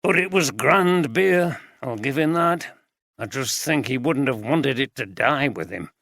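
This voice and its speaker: A man's voice: mid-range voice, expressive, measured pace, a bit bright, no room sound, clean studio sound. A man voicing a scornful, plain-spoken brewery cooper. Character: scornful middle-aged male brewery cooper.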